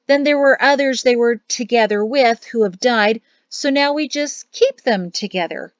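A chatty middle-aged woman, acted by a female narrator rambles helpfully in a high voice. It is audiobook dialogue, slightly dull in sound. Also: moderate pace, no echo, natural voice. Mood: earnest, chatty. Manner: explaining in a roundabout way.

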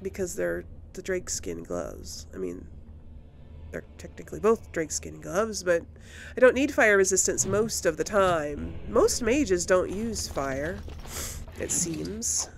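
A woman gives casual gameplay commentary, thinking aloud at an unhurried pace. Her pitch moves around a lot and often drops into creaky fry, with faint noise behind her. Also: sing-song. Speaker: gameplay host. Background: video game sounds.

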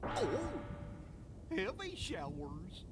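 Slow, swooping goofy cartoon dog. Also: male voice, sits high, huge pitch swings, heavy background noise, full character voice. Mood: bumbling, friendly. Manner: drawling, bumbling. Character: goofy, good-natured cartoon dog, dim and friendly. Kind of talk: cartoon game voice acting.

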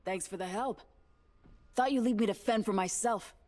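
A woman's voice: mid-range voice, moderate pace, a little mellow, lightly acted. A woman playing a tough soldier in a video game, speaking short lines in a clipped, sarcastic manner. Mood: wry. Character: tough, curt woman soldier with military bearing. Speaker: video game character voice actor. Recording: faint game noise and clicks behind. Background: faint gameplay noise.

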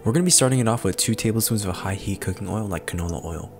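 A man hosting a cooking video, narrating steps in a relaxed, chatty way with self-deprecating asides. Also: fairly low pitch, quick pace. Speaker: cooking channel host. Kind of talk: casual cooking voice-over. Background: guitar music.

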